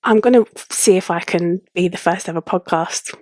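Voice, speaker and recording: A woman's voice: fairly low pitch, normal speed, darkish tone, dry recording. A young woman with a London accent hosting a podcast, chatting casually in an informal, slangy way. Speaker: podcast host. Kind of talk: casual solo podcast chat.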